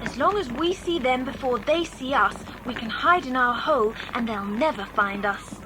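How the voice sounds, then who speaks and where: A woman's voice: fairly high pitch, lively intonation, normal speed, natural voice. A woman playing a sensible British schoolgirl in a children's adventure audio drama. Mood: concerned, steady. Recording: faintly noisy cassette with long reverb.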